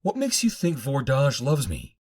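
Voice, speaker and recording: A man voicing a guarded, doubting young captive woman of Mars in an audiobook, measured and questioning. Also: medium pitch, huge pitch swings, a little mellow, slightly rough, pitched above the performer's own voice, heavily acted. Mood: doubtful, guarded.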